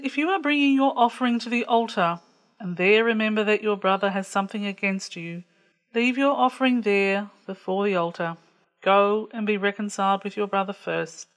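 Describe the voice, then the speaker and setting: A woman reading a gospel text aloud in a measured, clearly phrased way. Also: mid-range voice. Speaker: scripture reader.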